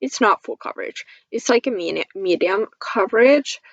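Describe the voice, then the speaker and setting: A woman's voice: medium pitch, expressive, normal speed, vocal fry, no room sound, muffled recording. A woman from Finland chatting casually about products as a beauty vlogger, in a gushing, giggly manner. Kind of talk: casual product review chat to camera.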